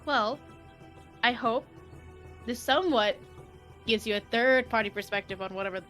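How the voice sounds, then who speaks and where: Bright, high-voiced woman reading tarot on stream. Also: moderate pace, soft background music. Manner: giggly, informal. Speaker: tarot reader and stream host.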